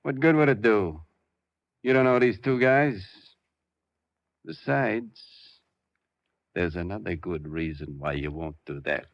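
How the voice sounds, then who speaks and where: A very low, menacing gangster in a vintage radio crime drama. He talks in sly, slangy threats, coolly sure of himself. The old recording is muffled and dry. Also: takes it slowly, pauses often, no echo. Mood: cool menace. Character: short heavy-set gangster, escaped bank robber, menacing and sly.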